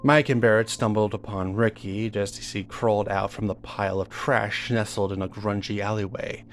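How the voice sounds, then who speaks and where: Male horror narrator, fairly low register, conversational first-person retelling with halting, reminiscing delivery over faint music. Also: steady tone. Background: music under the voice.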